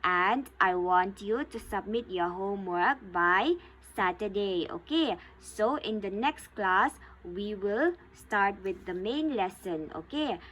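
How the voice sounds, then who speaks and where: A woman's voice: mid-range voice, animated, a bit bright, poor audio. A woman teaching vocabulary to young pupils, repeating each definition and checking understanding with "okay?". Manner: slow and deliberate, repeats each definition, ends phrases with "okay?". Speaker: school teacher.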